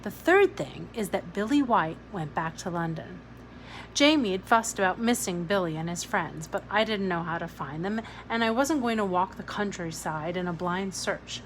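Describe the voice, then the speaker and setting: A woman's voice: lowish voice, moderate pace, rough recording. A woman reading a novel aloud in a storytelling narration style. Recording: clear steady background noise.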